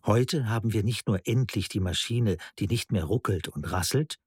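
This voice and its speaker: A man's voice: fairly low pitch, normal speed, a little mellow, slightly rough, dry recording, studio quality. A man reading a scripted literary radio essay as its narrator.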